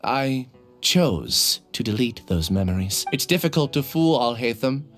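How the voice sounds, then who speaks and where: An actor playing a troubled but resolute young researcher in a video game, speaking in an earnest, solemn, measured way. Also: male voice, mid-range voice, animated, a bit bright, soft background music. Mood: resigned, resolute.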